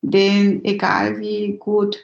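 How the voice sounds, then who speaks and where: A woman's voice: mid-range voice, completely flat delivery, extremely slow, gravelly, echoey room, highs cut off. A middle-aged woman whose first language is Spanish, speaking German in a thoughtful, hesitant interview with many fillers. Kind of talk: reflective personal interview.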